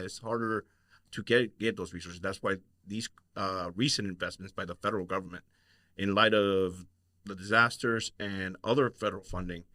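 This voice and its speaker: A middle-aged man from Puerto Rico co-hosting a podcast, speaking in an earnest, measured way. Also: sits low, bright, forceful tone, no echo. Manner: earnest, policy-minded, measured. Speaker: podcast co-host. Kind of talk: casual podcast discussion.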